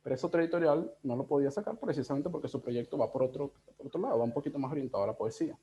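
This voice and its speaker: A man in his thirties with a Venezuelan accent, talking casually and reflectively as an interviewed editor, in a halting, digressive way. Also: mid-range voice, mellow. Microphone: video call. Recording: dull, lo-fi video call audio.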